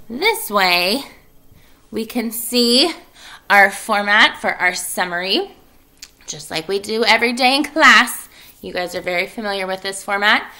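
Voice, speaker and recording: A woman's voice: mid-range voice, sharp tone. A woman, an elementary school teacher, reading a children's story aloud and giving instructions to her class.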